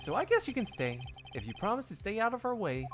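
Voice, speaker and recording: A man plays a smug, brash male prosecutor from a courtroom game. The voice is high and swings theatrically as he taunts and mocks, evasive and sure of himself, over music. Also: wildly swooping pitch, quick pace. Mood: smug taunting.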